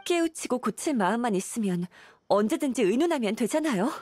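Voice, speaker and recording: A woman's voice: a little high, animated, fairly quick, darkish tone. An actress playing a weary, apologetic wife and mother burdened by debt in a video game, speaking politely and plaintively. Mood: worried, resigned. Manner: polite, plaintive, earnest storytelling.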